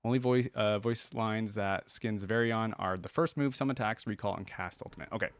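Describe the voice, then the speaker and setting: A man's voice: on the low side, a little fast, clear, resonant tone. A man giving casual reaction commentary as a host, rambling as he thinks aloud.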